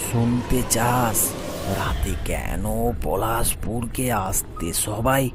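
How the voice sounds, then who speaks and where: A man voicing a sinister old man, secretly a villain, telling a cartoon horror story in a drawn-out, ominous manner. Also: fairly low pitch. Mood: ominous, slow. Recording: music bed behind the voice.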